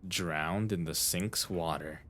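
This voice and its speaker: Man acting a low-voiced eccentric young detective. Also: slow, a bit bright. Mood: calm, explaining. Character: eccentric young male genius detective, coolly intense, flippant. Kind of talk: anime fan dub acting. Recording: voice over background music.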